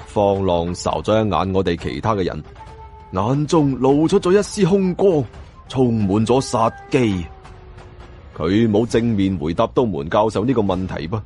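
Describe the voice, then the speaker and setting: Male Cantonese audiobook narrator, dramatic delivery with animated, wide-swinging intonation over background music. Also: fairly low pitch, measured pace. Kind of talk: dramatic scripted first-person story narration.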